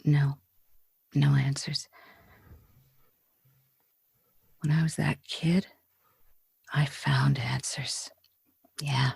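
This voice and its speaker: Radio drama: bitter, wistful Hollywood starlet with slum roots, played by a woman; low, slangy, halting delivery, close dry sound. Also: fairly even intonation, a little mellow, no echo, full character voice. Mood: resigned, bitter. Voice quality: husky, breathy. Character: jaded female Hollywood film star, slum-raised, frightened, bitterly wistful. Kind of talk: 1940s radio drama acting.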